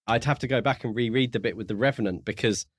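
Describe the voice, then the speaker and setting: A man co-hosting a podcast in a casual book discussion, talking in a measured, explanatory and courteous way. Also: medium pitch, no echo, clean studio sound. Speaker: podcast co-host.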